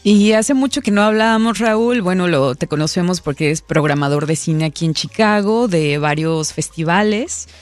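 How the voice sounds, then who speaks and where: A woman hosting a radio talk show, chatting warmly with guests and mixing Spanish with English. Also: on the low side, moderate pace, few pauses, no room sound, soft background music. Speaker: radio host.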